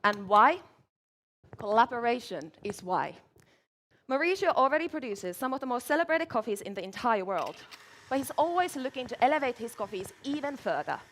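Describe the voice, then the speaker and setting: A woman from Finland, giving a measured competition presentation as a barista at a live event. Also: a little high, sing-song, fairly bright tone. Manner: measured, clear presenting while working.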